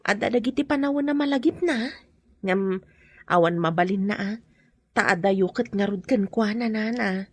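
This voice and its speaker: An actress playing a concerned, cautious, protective friend in an Ilocano radio drama, with a husky voice. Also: female voice, medium pitch, moderate pace, pauses often, no room sound, natural voice.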